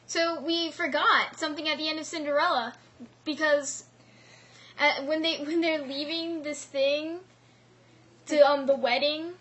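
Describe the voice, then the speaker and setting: A young woman casually retelling fairy tales in a comic, animated and slangy way, as a co-host of an amateur video. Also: high-pitched, measured pace, slight background noise. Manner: animated slangy storytelling with mock quotes. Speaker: vlog co-host.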